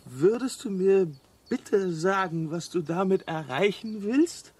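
Radio play: blunt cynical traveler, high-pitched, exasperated, resigned to doom. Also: male voice, animated, slow, darkish tone, a little hiss or hum. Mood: weary, resigned.

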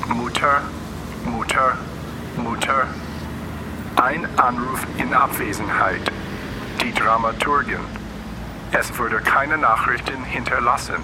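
An actor playing an impersonal phone announcer listing calls in clipped phrases. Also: male voice, mid-range voice, slow pace, pauses often, background noise, lightly acted.

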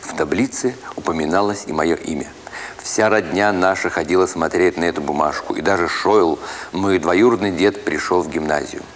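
Low-voiced man narrating a literary story. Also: normal speed, a little hiss or hum. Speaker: narrator, actor reading an audiobook.

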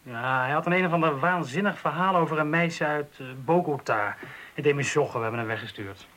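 A man's voice: a little high, a little fast, sharp tone. A man playing a dry, mocking police officer in a Dutch radio play, talking in a colloquial, teasing way. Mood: exasperated, mocking. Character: dry, mocking male police colleague of the inspector.

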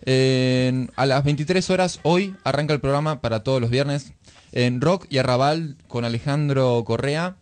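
A man with an Argentine accent co-hosting a radio show in a casual style, with some halting delivery. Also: medium pitch. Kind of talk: casual radio talk and reading aloud. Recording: music playing under the voice. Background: background music.

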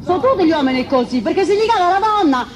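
A woman's voice: very high-pitched, lively intonation, fast, noisy background. A woman with a central Italian accent, arguing insistently and repetitively in colloquial speech. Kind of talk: heated spontaneous street argument. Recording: old documentary sound, crowded outdoor location.

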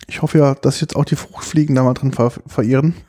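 Ein Mann als Co-Moderator, der in lockerem Gespräch meist kurz zustimmt. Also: male voice, medium pitch, steady tone, fast talker, dark tone. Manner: brief agreeing replies. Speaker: podcast co-host. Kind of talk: casual chat.

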